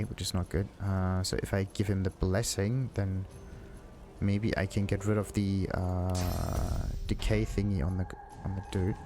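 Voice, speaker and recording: A man's voice: deep voice, darkish tone, a little hiss or hum. A man doing casual let's play commentary, thinking aloud to himself with game music behind him. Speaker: gaming video host. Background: video game music.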